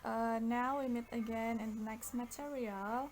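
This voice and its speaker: Woman, Indonesian accent, higher-pitched voice, English teacher, explanatory bilingual delivery, noisy background. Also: steady tone, a little slow, a little mellow. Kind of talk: bilingual instructional lesson. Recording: clear background noise.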